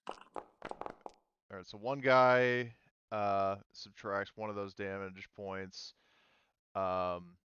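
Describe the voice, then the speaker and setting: A man running a tabletop role-playing game as game master, halting and thinking aloud as he talks. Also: on the low side, level tone, a bit bright, clear, resonant tone, dry recording, dull audio.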